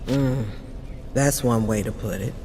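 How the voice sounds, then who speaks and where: An actor playing a sardonic demon in a video game, theatrical and teasing, with a languid drawl. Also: male voice, medium pitch, unhurried, a little mellow, natural voice. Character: sardonic, drawling demon freed from a stone prison. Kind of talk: video game dramatic acting. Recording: long reverb, noisy ambience behind.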